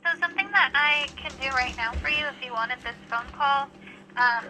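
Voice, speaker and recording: A woman's voice: high-pitched, normal speed. A woman working as a public service phone agent, talking in a procedural customer service way over the telephone. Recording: noisy telephone line.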